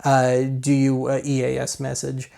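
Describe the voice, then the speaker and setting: Gravelly American man, casual rambling reviewer. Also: mid-range voice, monotone, measured pace, mellow. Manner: rambling, self-deprecating, colloquial. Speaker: host of a gadget review show. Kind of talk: casual product walkthrough.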